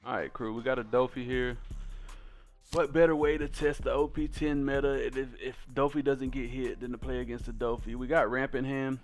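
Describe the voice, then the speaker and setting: A man hosts a card game video in a clean, smooth voice of average pitch. He talks viewers through in an informal, slangy way, like casual commentary among players. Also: fairly even intonation, normal speed, soft background music. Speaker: card game content creator and host.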